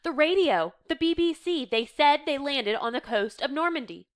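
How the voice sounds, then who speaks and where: A woman voicing an excitable young woman in a stage drama, exclaiming urgently as she delivers good news. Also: high voice, normal speed, pitched above the performer's own voice. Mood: excited, informative.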